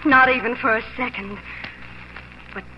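An actress playing an excitable, hopeful teenage pioneer wife in a 1940s radio drama, breathless and fervent. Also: female voice, on the high side, moderate pace, natural voice. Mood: awed, hopeful. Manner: breathless, wide-eyed, fervent. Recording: noisy, muffled 1940s radio broadcast.

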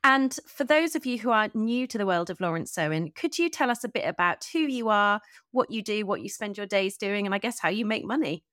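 A woman's voice: medium pitch, animated, fairly quick, fairly bright tone, no room sound, studio quality. A woman hosting a podcast, speaking in an effusive, enthusiastic way as she introduces and interviews. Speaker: podcast host.

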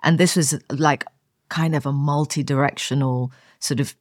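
A host in their forties leads the conversation with eager, lively interest and a mid-range voice. The recording is dry, with almost no room sound. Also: mid-range voice, normal speed, dry recording. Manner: enthusiastic, lively questioning. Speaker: podcast host.

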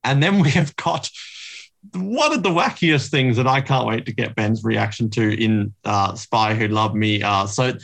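A man's voice: lowish voice, lively intonation, measured pace, creaky, fairly bright tone. A man hosting a podcast, chatting casually about books. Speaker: podcast host.

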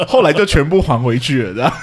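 A man's voice: fairly high pitch, fairly bright tone, a little hiss or hum. A man with a Taiwanese Mandarin accent, chatting casually as a podcast co-host.

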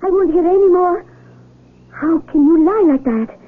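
A woman's voice: extremely high pitch, moderate pace. A woman acting in a radio drama as an earnest, sheltered young blind villager who speaks in simple, formal words. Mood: hurt, angry. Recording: tinny radio broadcast with faint noise.

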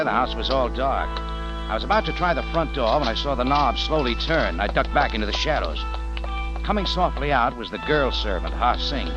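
A man's voice: medium pitch, lo-fi sound, lightly acted. An actor playing a hard-boiled, dogged adventurer who narrates his own story in a 1940s radio drama. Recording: old radio broadcast with music behind.

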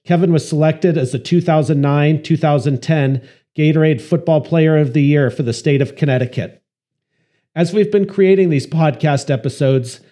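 A man hosting a medical podcast, reading a formal introduction and then interviewing a guest in a measured way. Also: a little high, mellow. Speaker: podcast host, physician.

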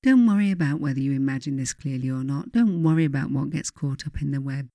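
A woman reading her own self-help writing as a meditative guide, in a measured, softly instructive way with deliberate pauses. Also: a bass voice, no room sound, studio quality.

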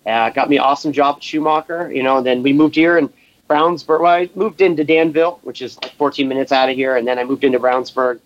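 A man from Massachusetts, a guest telling relaxed, rambling work stories in a casual interview. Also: medium pitch, fast, dull audio. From Massachusetts, USA. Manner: laid-back, rambling, many fillers. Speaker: guest, race shop welder.

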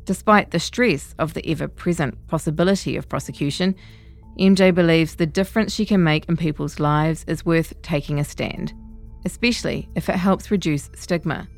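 A woman reading a scripted feature article in a measured, composed way. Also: sits low, faint music behind. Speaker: narrator of a long-read podcast.

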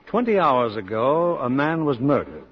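A male narrator sets the scene for a 1950s radio drama in a mid-pitched voice. Music plays clearly behind him, and the recording is muffled. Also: sing-song, normal speed. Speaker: producer and narrator.

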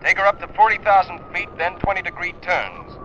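A man's voice: high voice, animated, a little fast, muffled recording. A man playing a businesslike flight controller in a 1950s radio drama, heard through a crackly cockpit radio. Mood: attentive, concerned. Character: businesslike male flight controller talking to a pilot. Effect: crackly cockpit radio filter. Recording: thin crackly radio transmission, noisy.

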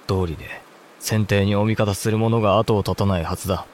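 A man's voice: on the low side, fairly even intonation, fairly quick, a little mellow, a little hiss or hum, natural voice. A man playing a thoughtful samurai clan head in a Japanese historical audio drama, formal and composed. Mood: grave.

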